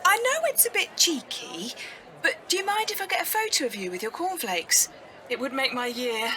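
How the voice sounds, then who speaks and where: Earnest young woman, high-pitched, bright, excitable, self-deprecating, audio drama confession. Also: normal speed, a little hiss or hum, heavily acted. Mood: eager, shy. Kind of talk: audio drama acting and confiding narration.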